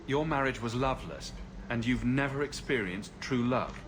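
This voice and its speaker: A man's voice: mid-range voice, animated, normal speed, background noise, lightly acted. A man playing a sympathetic, direct adventurer hero in a video game, sincere and probing.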